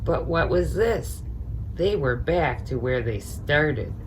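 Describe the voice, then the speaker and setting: A woman's voice: low voice, expressive, slow. A woman reading a children's picture book aloud, expressively, adding informal comments of her own as she goes. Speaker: storyteller, teaching assistant. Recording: noticeable background noise.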